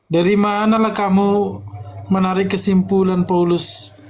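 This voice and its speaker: A man's voice: high-pitched, level tone, measured pace, a little hiss or hum. A man calling into a live debate stream, skeptical and questioning, demanding evidence.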